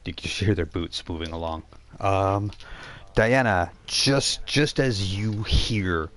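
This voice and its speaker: A man narrating a live tabletop role-playing game as game master, improvising scenes and haltingly searching for words. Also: deep voice, a bit bright.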